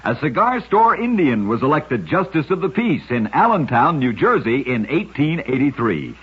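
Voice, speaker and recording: A man narrating short scripted stories for radio in a measured, dramatic storyteller style. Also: mid-range voice, big pitch swings, breathy edge. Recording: muffled vintage-style radio recording.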